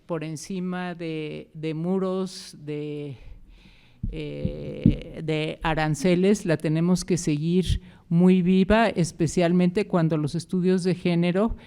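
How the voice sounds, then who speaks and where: A woman's voice: low-pitched, dark tone, smooth. A woman introducing a guest lecturer in an easy, conversational way with some hesitations. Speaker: moderator. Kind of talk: formal introduction of a speaker.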